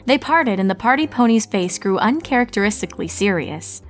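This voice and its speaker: A woman reading a fan story aloud as narrator, with steady storytelling narration. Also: medium pitch, fairly quick, music in the background. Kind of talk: fanfic audiobook reading.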